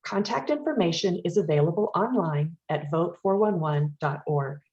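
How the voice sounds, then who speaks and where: A woman moderating a forum, reading prepared announcements in a measured, formal manner. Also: on the low side, a little mellow, dull audio.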